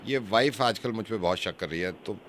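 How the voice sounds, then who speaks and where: Man playing a bright-voiced, pleading harried husband. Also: on the low side, fairly quick, lightly acted. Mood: pleading, exasperated. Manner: apologetic, repetitive, pleading. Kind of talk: prank phone call.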